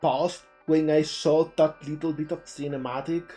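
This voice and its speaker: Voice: medium pitch, fairly even intonation, moderate pace, warm tone. A native Spanish speaker with an Andalusian accent from southern Spain, hosting a live stream with casual, chatty commentary on game trailers. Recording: music playing behind the voice.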